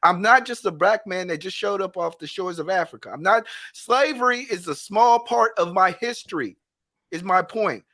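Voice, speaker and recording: Male panelist, high and sharp tone, combative and interrupting. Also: normal speed. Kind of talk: heated panel debate.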